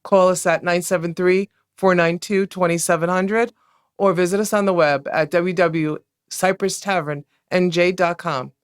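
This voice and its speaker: A woman's voice: on the low side, steady tone, normal speed, clear, resonant tone, no echo, studio quality. A woman appearing as a podcast guest for a restaurant, talking in a friendly, upbeat, promotional way. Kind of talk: casual interview chat.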